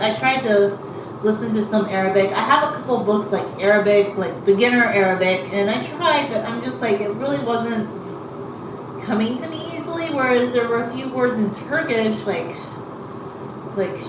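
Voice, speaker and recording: A woman's voice: fairly high pitch, roomy sound, distant mic, noisy background. A woman chatting casually in a rambling way with many fillers while she combs out her hair. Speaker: vlogger.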